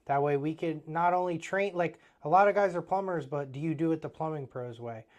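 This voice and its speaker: A man's voice: on the high side, fairly quick. A man in his thirties with an Eastern Pennsylvania accent who owns a plumbing business, talking bluntly and motivationally with lots of fillers. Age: 30s. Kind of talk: casual business talk.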